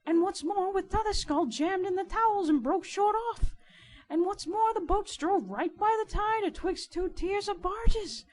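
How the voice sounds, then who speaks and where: A woman voicing a rough, sly, boastful working-class riverman informer in an audiobook, with insistent colloquial speech. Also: very high voice, unhurried, a little mellow, voice pushed up. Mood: excited, astonished. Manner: colloquial, insistent, nonstandard grammar. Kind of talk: dramatic audiobook character reading.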